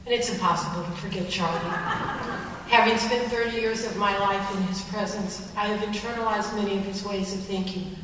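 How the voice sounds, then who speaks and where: A woman, a longtime colleague, delivers a prepared memorial tribute in a thoughtful, steady way. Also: medium pitch, fairly quick, slight background noise. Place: large hall at a memorial service. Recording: distant echoey hall recording.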